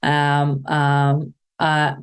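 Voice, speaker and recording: A woman from Afghanistan with an Afghan accent, giving an earnest podcast interview, halting and restarting often. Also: low voice, monotone, clear, resonant tone. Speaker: podcast guest, nonprofit founder.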